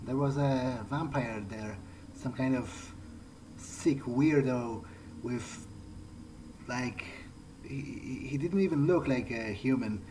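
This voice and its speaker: A man's voice: medium pitch, slow pace, warm tone, slight background noise, close to an everyday voice, rough recording. A man voicing a thoughtful, cautious vampire who thinks aloud in a hesitant, understated way during a game playthrough. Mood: matter-of-fact. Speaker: roleplaying video game player. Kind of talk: in-character improvised game playthrough. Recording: game music behind the voice.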